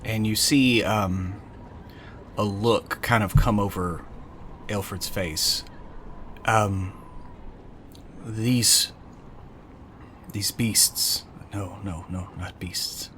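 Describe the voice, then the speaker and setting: Slow, grave fiend hunter played by a man. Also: on the low side, steady tone, a bit bright, slight background noise. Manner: halting, deliberate. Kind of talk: actual-play audio drama acting.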